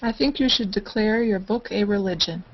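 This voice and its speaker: A woman's voice: mid-range voice, moderate pace. A woman chatting casually as a panel guest on a video call, with dry, mock-formal humour. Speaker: panel guest in an online hangout. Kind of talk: casual chat. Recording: dull, slightly noisy web call audio.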